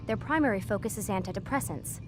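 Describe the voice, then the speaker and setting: A woman's voice: medium pitch, animated, fast, over music, close to an everyday voice. An actress playing a guarded young female scientist in a video game, matter-of-fact with a dry wit. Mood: matter-of-fact, evasive.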